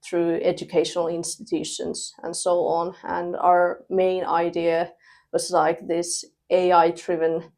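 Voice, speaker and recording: A young woman, chatting as a podcast guest, relaxed and pausing with fillers. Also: on the low side. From Finland. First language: Thai. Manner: relaxed, laughs between thoughts, pauses with fillers. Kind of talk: casual interview chat.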